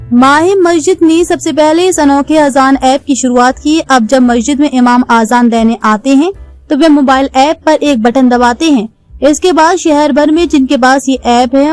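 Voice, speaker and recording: High-pitched woman narrating news. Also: darkish tone.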